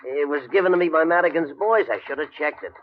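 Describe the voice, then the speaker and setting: A man's voice: on the high side, fast talker, lightly acted. An actor playing a sheepish, apologetic businessman with placating manner in 1950s radio drama style. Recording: muffled old radio, faint noise.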